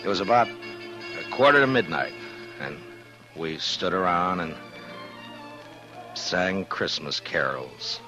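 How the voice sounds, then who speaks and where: An actor playing a steady, kindly, plain-spoken Old West marshal in an American 1950s radio Western, speaking in a thoughtful, measured way. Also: male voice, low-pitched. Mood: wistful, reminiscing. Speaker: lead actor and narrator. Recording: vintage radio recording, music behind.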